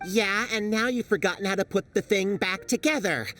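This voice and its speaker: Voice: mid-range voice, moderate pace, fairly bright tone, recorded from a distance, close to an everyday voice. An actor playing a sardonic small forest creature, mock-polite and teasing, in a game cutscene. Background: music.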